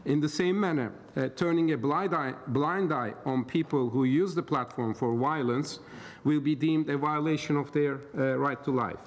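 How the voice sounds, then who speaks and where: A middle-aged man from Turkey giving a conference talk as an expert panel speaker, hesitant and full of filler pauses. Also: fairly high pitch. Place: conference hall. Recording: roomy hall reverb, faint background noise.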